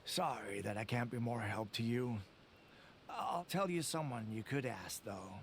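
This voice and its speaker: An actor playing a laid-back, reclusive villager in a video game, drowsy, unhurried and aloof. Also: male voice, medium pitch, lively intonation, gravelly, a little hiss or hum. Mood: drowsy, content. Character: laid-back reclusive male villager who wants only peace.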